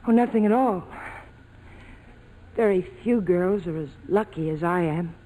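A woman's voice: fairly low pitch, unhurried, warm tone, rough recording. Troubled young woman in old radio melodrama. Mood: bitter, resolved. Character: troubled young woman torn between two lovers, worldly and guilty. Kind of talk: 1940s radio drama acting. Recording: old 1940s radio broadcast, music underneath.

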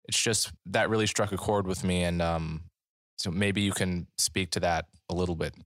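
A man hosting an interview, asking long, deliberate questions at a measured pace. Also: sits low, fairly even intonation, a bit bright, no echo, clean studio sound. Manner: measured, deliberate, reads aloud slowly. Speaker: podcast host.

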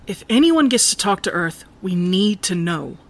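A woman playing a purposeful, strategic moonbase crew member in audio drama dialogue, earnest and measured. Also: mid-range voice, sing-song. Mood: earnest, resolved.

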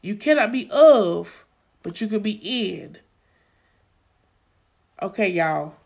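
A woman's voice: mid-range voice, lively intonation, slow pace, creaky, darkish tone. A woman co-hosting a faith podcast, exhorting listeners and repeating phrases for emphasis in a preaching style. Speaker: podcast co-host.

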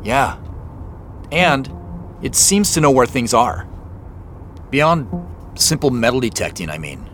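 A man's voice: medium pitch, very animated, takes it slowly, pauses often, a bit bright, a little hiss or hum. An actor playing a troubled, guarded man in an audio drama, reflective and earnest as he talks. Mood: uneasy. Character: troubled, guarded man confiding in his sister and narrating. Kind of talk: audio drama acting and first-person narration.

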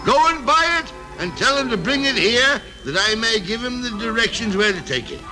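An actor playing an excitable, stammering old miser in a vintage radio drama, with theatrical delivery. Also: male voice, very high-pitched, normal speed, exaggerated voice, rough recording. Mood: joyful excitement. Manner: theatrical, stammering exclamations and repetitions. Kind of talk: 1930s-40s radio drama acting. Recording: old radio recording with music behind.

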